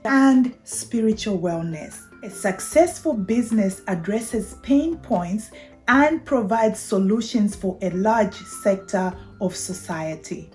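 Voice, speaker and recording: Middle-aged Zambian woman, channel host, warm dark timbre, structured deliberate delivery over light background music. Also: medium pitch. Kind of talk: prepared explainer talk to camera.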